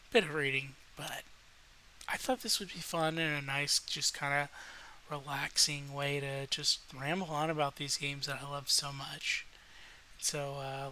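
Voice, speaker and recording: Male host, unhurried and hesitant, slightly rough but very bright voice, winding-down ramble over faint hiss. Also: a little high, poor audio. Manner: hesitant, winding-down, frequent pauses. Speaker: video host. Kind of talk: relaxed late-night ramble, reading trivia aloud. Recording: noticeable faint background hiss.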